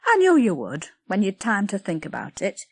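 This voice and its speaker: A woman voicing a sharp-tongued middle-aged village baker who scolds briskly and dismissively. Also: mid-range voice, huge pitch swings, no echo. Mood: scolding.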